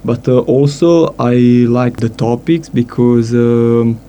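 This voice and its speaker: A man from Italy speaks on a radio chat in a mellow, dark tone. His pitch stays very flat. There is a faint hiss behind him. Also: slow. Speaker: guest.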